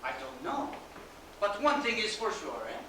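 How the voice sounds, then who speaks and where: A woman's voice: mid-range voice, big pitch swings, moderate pace, lightly acted. In a distant, heavily echoing, noisy recording, a woman plays a naive and curious comic deity with a foreign accent. The delivery swings up and down through questions and exclamations. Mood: earnest. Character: comically naive, inquisitive character called God. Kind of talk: theatrical comic dialogue. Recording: very echoey stage from far off, noisy.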